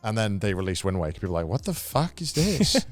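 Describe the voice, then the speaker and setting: A man's voice: on the low side, sing-song, fast talker, dry recording, soft background music. A man co-hosting a casual gaming podcast, sweary, slangy and emphatic in how he talks. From United Kingdom. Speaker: podcast co-host.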